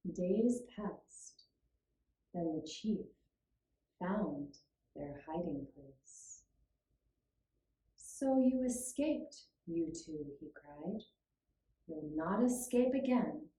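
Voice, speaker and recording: A woman narrating a scripted children's puppet-show tale in a measured, deliberate way with pauses. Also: on the low side, animated, warm tone. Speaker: storyteller. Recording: roomy, echoing room sound.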